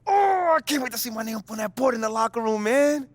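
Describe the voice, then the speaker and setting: An actor playing a young, confident, easygoing rookie basketball player in a video game, bantering in casual slang. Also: male voice, extremely high pitch, expressive, quick pace, dry recording. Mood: upbeat, excited. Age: young adult. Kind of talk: video game cutscene dialogue.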